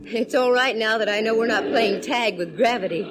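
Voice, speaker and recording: A woman's voice: fairly high pitch, background noise, close to an everyday voice. A woman playing a sassy young private eye. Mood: breezy. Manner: quick wisecracks, teasing and self-assured. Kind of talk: 1940s radio acting.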